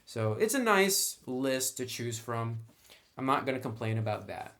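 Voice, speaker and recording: Guy doing a chatty game book review, explains stuff and says what he thinks, mid pitched voice. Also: sing-song, measured pace, fairly bright tone. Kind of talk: casual explanatory review commentary.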